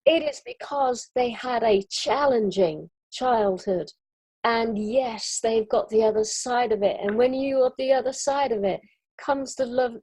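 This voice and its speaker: A European woman in an earnest, expressive conversation about spirituality, often laughing as she talks, on a video call. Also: fairly high pitch, breathy edge, dry recording, rough recording. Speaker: summit guest.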